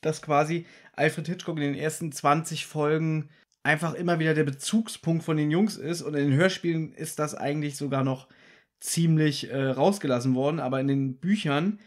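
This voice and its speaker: A man's voice: a little high, fairly even intonation, moderate pace. A man co-hosting a podcast, chatting casually and explaining points with a teasing tone as he reviews an old radio play episode. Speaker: podcast co-host.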